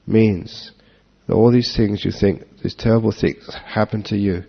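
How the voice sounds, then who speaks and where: A man giving a relaxed Dharma talk to an audience, joking and chuckling as he answers questions. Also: fairly low pitch, a little slow, creaky, husky. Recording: dull lo-fi talk recording.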